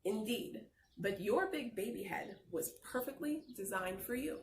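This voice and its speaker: A woman voicing a gentle, reassuring father of a young son in a picture-book read-aloud. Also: mid-range voice, lively intonation, measured pace, reverberant, distant mic, a little hiss or hum. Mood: tender, assuring.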